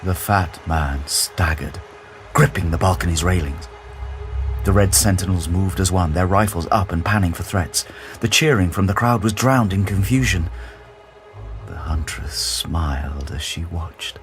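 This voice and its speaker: Slow, dramatic male narrator over music. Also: low-pitched. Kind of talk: dramatic scripted audiobook narration.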